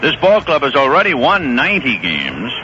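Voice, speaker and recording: Bright-voiced man calling baseball on old radio. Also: mid-range voice, wildly swooping pitch. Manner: brisk, conversational play-calling. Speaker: play-by-play announcer. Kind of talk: live radio baseball commentary. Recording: dull old radio broadcast with faint hiss.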